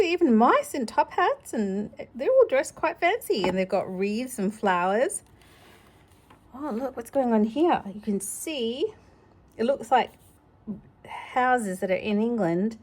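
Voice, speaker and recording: A woman's voice: high-pitched, sing-song, measured pace. A woman reading a picture book aloud to a child, playfully exclaiming and chatting about the pictures. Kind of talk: animated read-aloud with chatty asides.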